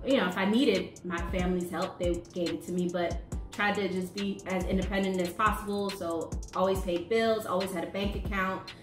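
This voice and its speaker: A woman in her thirties who was raised in the United States, co-hosting a couple's vlog and telling personal stories with frequent laughter, recorded at a desk microphone in a small room at home. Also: mid-range voice. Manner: laughs often, lively storyteller. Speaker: co-host of a married couple's vlog. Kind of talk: casual chat and personal storytelling. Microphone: desk condenser mic, wearing headphones. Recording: echoey room.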